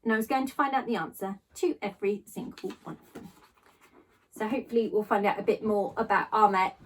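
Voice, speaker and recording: A woman teacher reading aloud to pupils in an expressive, storytelling manner. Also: mid-range voice, fairly quick, distant mic, slight background noise. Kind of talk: expressive children's story narration.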